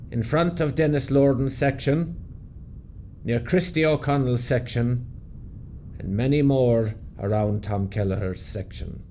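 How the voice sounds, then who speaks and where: An actor playing an Irish veteran officer who recounts a battle in a radio play, grave and measured, with an Irish accent. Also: male voice, mid-range voice, darkish tone, a little hiss or hum. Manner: measured, storytelling. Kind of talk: dramatic first-person radio narration.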